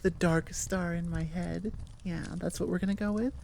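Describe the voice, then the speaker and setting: A woman's voice: on the low side, fairly even intonation, moderate pace, gravelly, a little mellow, slight background noise. A woman giving a casual, rambling outro as host of her audio channel, self-deprecating and wandering off on tangents at the microphone. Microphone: sitting at a computer microphone, recording into audio software.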